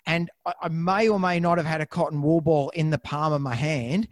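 Man, slightly squeaky, higher pitched, animated chatty vet, dry close sound. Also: brisk, no room sound. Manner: animated, chatty storyteller with many restarts. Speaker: veterinarian podcast co-host. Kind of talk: casual chat.

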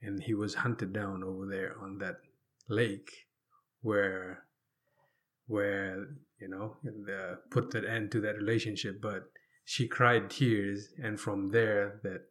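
A man from Fiji with a fairly low voice shares personal stories as an interview guest. He talks conversationally, often pausing and drawing out words as he gathers his thoughts. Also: level tone, a bit bright. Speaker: interview guest telling his life story.

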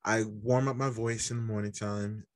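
A man hosting a podcast in relaxed, casual conversation, with a very deep voice and easy laughter. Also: dry recording, clean studio sound. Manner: relaxed, laughs easily, halting restarts. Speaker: podcast host.

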